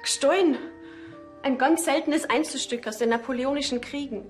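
A woman's voice: high-pitched, normal speed, soft background music, natural voice. A woman playing an anxious, knowledgeable museum assistant in a TV crime comedy. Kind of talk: television crime comedy acting.

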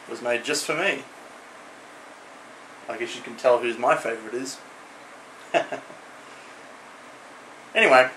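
A man's voice: fairly high pitch, a bit bright, a little hiss or hum, poor audio. Young man explaining things casually. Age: young adult. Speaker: tech vlogger. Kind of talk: casual product showcase to camera. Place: small home room, indoors.

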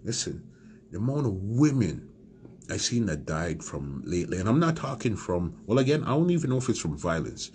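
A man in his forties hosts a live stream from a small room at home, talking to a close webcam. His pitch swings widely as he hypes the viewers, with faint hiss behind him. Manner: animated, hypes the audience with shout-outs. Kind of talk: casual live stream chat. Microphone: phone or webcam at close range.

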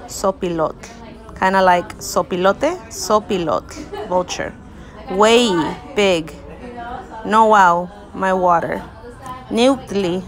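Slow careful woman teaching words. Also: medium pitch, a bit bright. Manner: slow, careful word-by-word repetition. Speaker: language learner sharing lessons. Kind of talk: casual vocabulary lesson. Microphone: phone held close, selfie framing.

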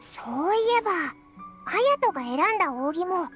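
A small, inquisitive fairy companion from a video game. The voice is tiny and very squeaky, animated and childlike, with faint music behind it. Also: female voice, normal speed. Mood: curious, pondering. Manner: squeaky, animated, boyish blunt phrasing. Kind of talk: video game cutscene acting.

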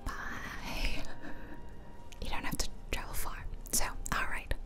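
A woman's voice: brisk, over loud music. Whispering woman, ASMR game commentary. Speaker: host of an ASMR gaming channel. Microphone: close ear-to-ear ASMR microphone.

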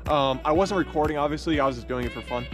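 A young man vlogging outdoors in a tidal marsh at night, talking excitedly and casually about the fish he catches. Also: a little high, fast, faint music behind. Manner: enthusiastic, chatty, slangy. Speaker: micro-fishing vlogger. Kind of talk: casual outdoor vlog narration.

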